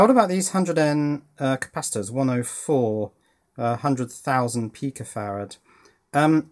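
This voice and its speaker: British male hobbyist presenter, medium pitch, informal, thinking out loud with hesitations. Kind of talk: casual hands-on project narration. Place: indoors at a workbench.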